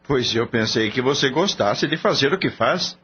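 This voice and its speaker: A man's voice: medium pitch, expressive, moderate pace, close to an everyday voice. A man playing a cynical, mocking veterinarian boss with a Brazilian accent in a radio drama, in a theatrical, derisive manner. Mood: mocking, amused. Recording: muffled, lightly noisy radio-play recording.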